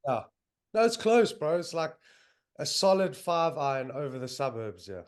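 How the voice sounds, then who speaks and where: A man's voice: a little high, big pitch swings, normal speed. A young man with a South African accent, hosting a podcast in loose, enthusiastic casual chat with lots of fillers. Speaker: podcast host. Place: home in northern England, on a video call.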